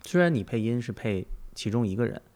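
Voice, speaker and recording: A man's voice: mid-range voice, animated, fairly quick, a little mellow. A man hosting a conversational interview, thinking aloud as he probes with questions and comparisons. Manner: musing, probing, thinking aloud. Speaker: interviewer, host.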